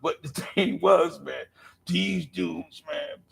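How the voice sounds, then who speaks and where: A middle-aged man with a raspy voice, speaking in an impassioned, profane monologue on a podcast, filling his pauses with "man" and circling back through restarts. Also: a little high. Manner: rambling with restarts. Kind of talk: impassioned street-life monologue.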